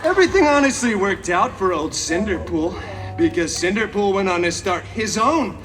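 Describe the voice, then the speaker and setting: A man's voice: high voice, expressive, moderate pace, fairly bright tone, soft background music. A man playing a chaotic, cheeky, vain masked antihero who tells a story theatrically to a live audience with sassy, mock-sweet asides. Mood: dramatic, smug. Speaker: theme park stage show performer. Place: theme park stage, recorded from the audience. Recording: amplified live show, distant, crowd noise.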